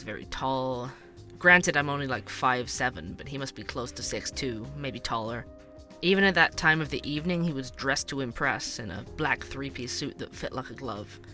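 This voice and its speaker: A man's voice: medium pitch, pushed and bright. A man playing a candid, wry investigative podcast host in an audio drama, speaking conversationally. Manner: conversational, wry, hesitant asides. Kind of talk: fictional podcast narration and dialogue.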